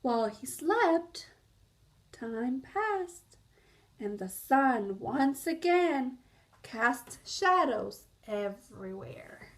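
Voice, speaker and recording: Female children's story reader, high and very animated, explanatory, unhurried. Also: low-quality recording. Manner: explanatory, asks listeners questions. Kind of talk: read-aloud storytelling for young children.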